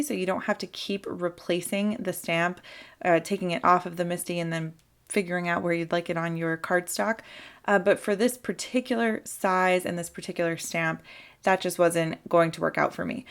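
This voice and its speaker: A young adult woman with a slight sore throat hosting a card-making tutorial and calmly explaining each step. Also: fairly low pitch. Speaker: papercraft tutorial host. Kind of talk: instructional voice-over for a crafting video.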